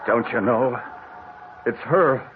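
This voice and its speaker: A man's voice: mid-range voice, takes it slowly, loud music behind the voice, lightly acted. A man playing an insistent, spooked traveler. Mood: alarmed. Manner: insistent and alarmed. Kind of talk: 1940s radio acting. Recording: tinny old radio broadcast.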